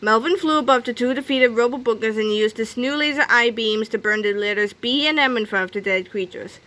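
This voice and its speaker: Bright, high-voiced woman reading aloud. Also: fairly quick. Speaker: storybook reader. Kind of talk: expressive children's book read-aloud.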